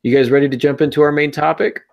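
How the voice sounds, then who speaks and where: A man's voice: a little high, fairly even intonation, fast talker, creaky, darkish tone. A man hosting a podcast in a casual, conversational way, with wry asides as he talks about writing. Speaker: podcast host.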